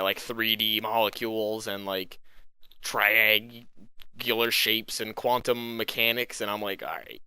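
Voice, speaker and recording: Bright-voiced young man, a bit sick. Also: lowish voice, clean tone, dry recording. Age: young adult. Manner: slangy, animated griping with acted-out quotes. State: sick, losing voice, coughing. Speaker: gaming video host. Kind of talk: casual storytelling voiceover.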